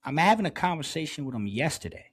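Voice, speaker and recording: A man's voice: on the high side, big pitch swings, fast talker, husky. A middle-aged man co-hosting a podcast, telling stories in a casual, slangy, chummy way and repeating phrases for emphasis. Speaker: podcast co-host. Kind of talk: casual chat, storytelling.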